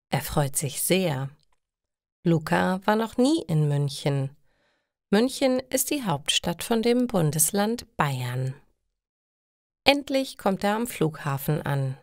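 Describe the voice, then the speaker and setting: Female storyteller for language learners, careful and unhurried, very animated intonation, dry sound. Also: lowish voice, no echo. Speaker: narrator of language-learning stories. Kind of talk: scripted narration for language learners.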